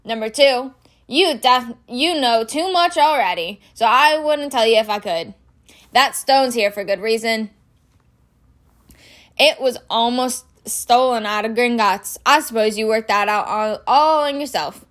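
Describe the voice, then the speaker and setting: Woman voicing hearty big groundskeeper man, raised pitch. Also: unhurried, a bit bright. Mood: guarded. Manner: colloquial, hearty, blurting. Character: big bearded groundskeeper man, proud, secretive but easily flattered.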